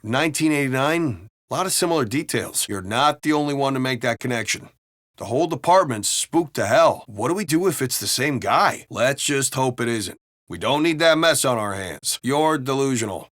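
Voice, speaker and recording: Brash American cop, acted by a man, lively pitch swings. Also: moderate pace, no room sound, clean studio sound, lightly acted. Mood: uneasy, then argumentative. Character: brash, griping American male city cop, foul-mouthed. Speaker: video game voice actor. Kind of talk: casual in-game banter dialogue.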